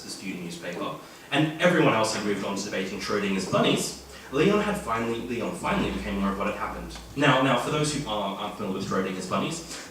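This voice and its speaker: A man's voice: fairly low pitch, fairly bright tone. An Australian man reading humorous fiction aloud at a live event, with wry, jokey asides. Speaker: author reading at a literary event. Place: indoor event venue, filmed reading. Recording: echoey room, distant mic, faint noise.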